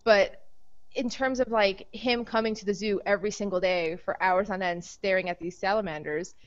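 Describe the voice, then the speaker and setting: A woman hosting a book discussion over an online call, in a middle-pitched voice. She talks easily and thinks out loud. The sound is dull and lo-fi. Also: fairly even intonation, moderate pace. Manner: easygoing, thinks aloud with hedging phrases. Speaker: podcast host. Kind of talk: casual podcast chat.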